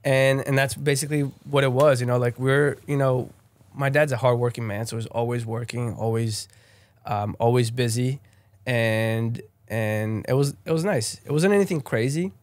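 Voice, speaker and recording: A man's voice: mid-range voice, fairly even intonation, moderate pace, no room sound. Rambling young Brazilian man, dry sound. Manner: rambling, many fillers and restarts. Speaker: guest. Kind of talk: casual interview chat.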